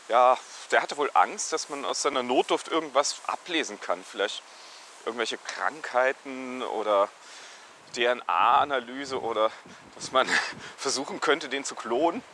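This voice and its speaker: A man's voice: mid-range voice, lively intonation, moderate pace, sharp tone, a little hiss or hum. A man musing aloud in a casual, loosely told monologue.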